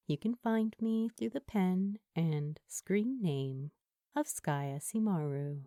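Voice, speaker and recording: A woman reading scripted fiction as an audiobook narrator, with an expressive, measured storytelling manner and deliberate pauses. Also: mid-range voice, dry recording, studio quality.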